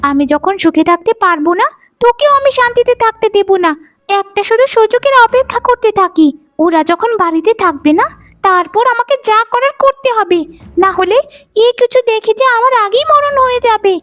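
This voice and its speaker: A woman's voice: very high voice, lively intonation, fairly quick. A woman voicing a jealous, spiteful young wife in a melodramatic cartoon, whining and scolding theatrically. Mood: bitter, plotting. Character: jealous, scheming young wife, twin sister, whiny and spiteful. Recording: loud music bed under the voice.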